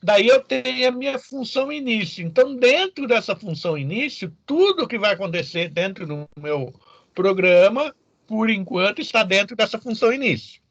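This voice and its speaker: A man with a high-pitched voice and a Brazilian accent teaches in an informal, step-by-step way. He often poses short questions to check that students follow. The recording is dry and somewhat muffled, with little room sound. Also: expressive, normal speed, fairly bright tone, no echo. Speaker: programming teacher. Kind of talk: informal explanatory lecture to students.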